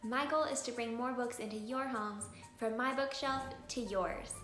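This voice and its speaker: A woman reading a children's story aloud as host, with a dramatic, expressive storytelling delivery. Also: a little high, moderate pace. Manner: dramatic, animated delivery with emphatic stresses and hushed moments. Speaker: children's book reader and channel host. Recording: roomy echo with clear music behind. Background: music.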